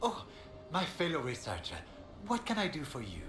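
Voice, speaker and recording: An actor playing a courteous, eager scholar and researcher in a fantasy game. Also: male voice, fairly high pitch, huge pitch swings, normal speed. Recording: echoey, with music behind.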